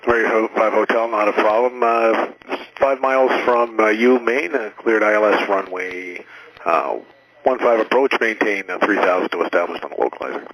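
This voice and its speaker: A man working as an air traffic controller, giving halting, procedural instructions over aviation radio. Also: fairly low pitch, a bit bright, poor audio. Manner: halting, procedural phrasing with frequent hesitations.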